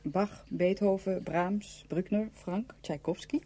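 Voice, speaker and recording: Composed cultured woman, mellow, very husky, understated, questioning, radio drama. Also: fairly low pitch, slow pace, exaggerated voice. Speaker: actor in a radio play. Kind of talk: Dutch radio play acting.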